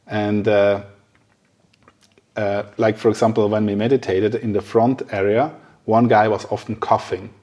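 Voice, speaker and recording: Low-voiced man, chatting informally. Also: flat delivery, a little slow, darkish tone. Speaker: podcast interviewer. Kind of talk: casual podcast conversation.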